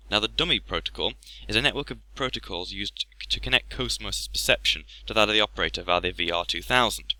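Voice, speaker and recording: A man with a low but very bright, forward voice explains a game informally. The recording is close and dry. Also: no room sound. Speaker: gaming video commentator.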